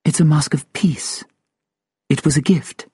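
A man voicing a solemn, grieving woman from Africa in an audiobook story, speaking softly and deliberately, sometimes nervous and guarded. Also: fairly high pitch, steady tone, breathy edge, no room sound, studio quality, voice pushed up.

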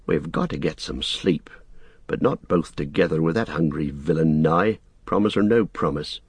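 A man's voice: very deep voice, moderate pace, breathy edge, no room sound, pitched below the performer's own voice, exaggerated voice. A man voicing a wary, loyal, plain-spoken hobbit servant in an audiobook, with a homely, blunt, grumbling way of talking. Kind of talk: audiobook character acting.

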